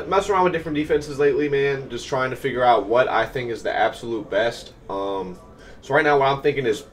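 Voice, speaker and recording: A young man in his twenties, slightly nasal from a cold, giving upbeat casual commentary on his own game from a small room at home. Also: lowish voice. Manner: upbeat, explanatory, many fillers. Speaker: gaming coach and YouTuber.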